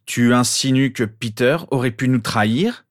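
A man voicing a stubborn, distrustful father in an audiobook, speaking in a curt, forceful way. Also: mid-range voice, fairly even intonation, moderate pace, voice pushed up, lightly acted. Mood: menacing. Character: James, stubborn, incredulous, threatening father.

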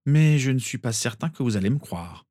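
A man reading aloud and voicing an earnest, good-humoured young wizard, with a husky voice. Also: medium pitch, animated, brisk, mellow, no echo, studio quality, pitched above the performer's own voice, natural voice. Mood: earnest, amused.

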